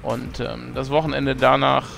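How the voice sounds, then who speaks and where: A hoarse man hosting a let's play, chatting casually and self-mockingly, thinking aloud with fillers as he plays. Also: medium pitch, little pitch movement, moderate pace, a bit bright, soft background music. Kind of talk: casual gameplay commentary.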